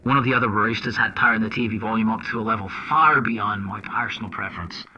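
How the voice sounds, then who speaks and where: A man's voice: fairly low pitch, fast talker, sharp tone, distant mic. A man playing a wry, foul-mouthed intelligence agent, telling his story in a sardonic, conversational way in an audio drama. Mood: wry, confiding. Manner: sardonic, conversational, addresses the listener directly. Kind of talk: first-person audio drama narration and dialogue. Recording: dull lo-fi old-radio sound under music.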